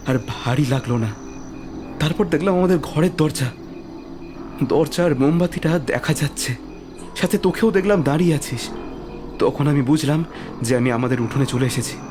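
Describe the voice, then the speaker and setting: An actor playing a frightened young man, stammering and breathless as he recounts being chased in the dark. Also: on the high side, lightly acted. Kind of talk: Bengali audio drama acting.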